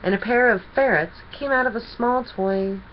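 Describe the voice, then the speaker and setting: A woman reading a children's story aloud as an expressive storyteller. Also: a little high, normal speed, highs cut off, a little hiss or hum.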